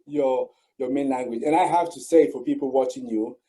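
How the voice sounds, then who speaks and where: A man's voice: medium pitch, normal speed, dark tone. A man hosting an online interview, speaking formally and repeating his phrases for emphasis. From Cameroon. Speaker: host and channel founder.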